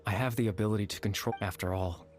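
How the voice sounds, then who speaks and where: Man playing a low-voiced, sorrowful young man over music. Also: steady tone, fast, lightly acted. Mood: solemn, weary. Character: gentle, sorrowful young man, remorseful and wistful. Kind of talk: dramatic video game dialogue.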